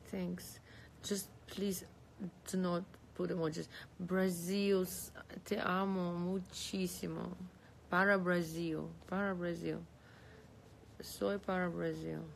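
A woman's voice: on the low side, takes it slowly, vocal fry, a little hiss or hum, low-quality recording. A woman in her thirties from Russia, speaking with a Russian accent as she answers viewer comments on a casual live stream from her bed. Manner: relaxed, lying back, reading comments aloud and answering. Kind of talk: casual live chat, switching between languages. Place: bedroom, lying against pillows indoors. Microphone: phone held close.

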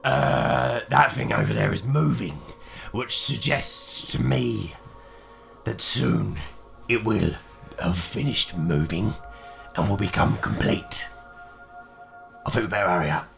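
A man's voice: lowish voice, slow pace, vocal fry, long pauses, fairly bright tone, faint music behind, heavily acted. A man playing a blunt, grumbling adventurer in a tabletop game, with a wry, bossy manner. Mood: impatient.

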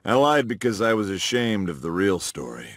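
A hot-blooded middle-aged policeman from an animated sitcom. His voice is forceful and bright, leaping widely in pitch. The recording is close and dry, and the delivery is emphatic. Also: moderate pace, no echo. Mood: grave, confessional. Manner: intense, emphatic. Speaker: police officer.

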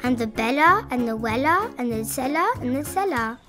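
A young woman reading rhyming children's picture books aloud in an expressive, playful storytelling style. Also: high-pitched, moderate pace, music in the background. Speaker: children's picture book reader.

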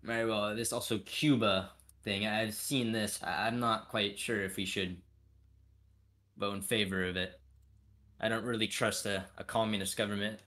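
A man's voice: on the low side, little pitch movement, normal speed, bright, forceful tone. A man roleplaying a vice president over a voice call, agreeable and formally deferential.

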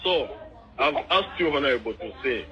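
A man's voice: mid-range voice, lively intonation, moderate pace, bright, forceful tone. A young man with a Zambian accent arguing over the phone, politely and persistently. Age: young adult. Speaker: local resident on a phone call. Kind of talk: phone argument. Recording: noisy, tinny recorded phone call.

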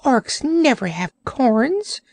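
A woman's voice: high-pitched, sing-song, takes it slowly, darkish tone, pitched above the performer's own voice, heavily acted. A woman voicing a whiny, complaining male orc creature in a storybook reading, groaning and self-pitying.

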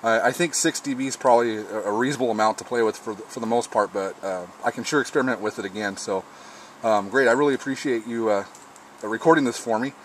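A man's voice: medium pitch, fast talker, low-quality recording. A man speaking casually as an amateur radio operator testing his rig, recorded outdoors. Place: outdoors on a patio table beside a lawn. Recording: faint background noise, recorded outdoors.

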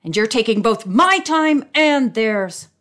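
A woman voicing an outraged male photographer in a storybook, barking forcefully and indignantly. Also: a little high, very animated, takes it slowly, heavily acted.

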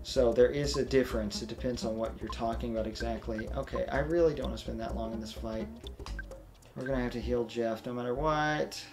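A young man hosting a let's play, commentating casually in a self-described monotone, rambling through his explanations. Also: mid-range voice, brisk, over music.

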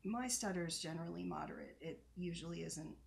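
A woman in her thirties talks unhurriedly from a home office lined with bookshelves. Her voice sits a little low with a gravelly edge, and a mild stutter brings occasional pauses and repeats. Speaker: software trainer and video host. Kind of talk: casual reaction commentary.

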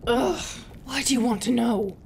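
A woman playing a proud, brooding, non-human pirate captain in a roleplay, guarded and often dropping into a half-whisper with hesitant pauses. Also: fairly high pitch, vocal fry, a little hiss or hum. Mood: wistful, grave. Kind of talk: roleplay ASMR acting.

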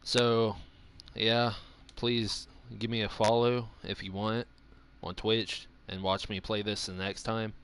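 A man giving casual, halting live commentary as a game streamer. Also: lowish voice, flat delivery, smooth. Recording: game music loud behind voice. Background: game music with plucked guitar.

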